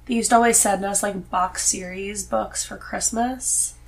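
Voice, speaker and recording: A woman's voice: medium pitch, fairly even intonation, a little slow, gravelly. A woman guest on a podcast, chatting casually in loose, informal phrasing. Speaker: podcast guest, host's wife. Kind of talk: casual conversation about reading.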